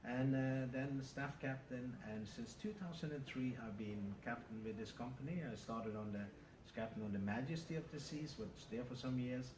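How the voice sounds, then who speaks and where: A Norwegian man in his fifties answers in a measured, factual way, often pausing on "uh". He sits away from the mic in a roomy, echoing space. Steady noise hums behind him. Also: mid-range voice. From Norway. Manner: measured, factual, with many "uh" pauses. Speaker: cruise ship captain. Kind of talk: interview answers. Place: aboard a large cruise ship. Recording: roomy, distant, noisy ambience.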